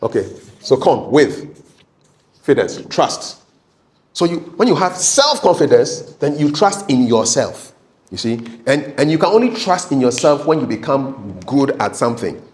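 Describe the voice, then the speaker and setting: A man with a mid-pitched voice speaks as a coach running a practical training session. He is conversational and instructive in his delivery. He repeats key points emphatically and keeps checking that listeners are following. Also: sing-song, measured pace. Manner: emphatic, repetitive, checks in with "okay?". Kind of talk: live training talk with practical tips.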